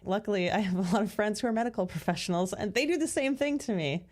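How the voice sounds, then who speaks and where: A woman's voice: mid-range voice, fast, a little mellow. A woman co-hosting a podcast, talking casually and thoughtfully, often saying "like". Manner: thoughtful, chatty, many "like" fillers. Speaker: podcast co-host. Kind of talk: casual conversation.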